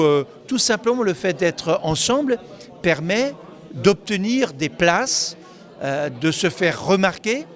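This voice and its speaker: A man giving explanatory interview answers as a local official, often halting and repeating words mid-phrase. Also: high-pitched.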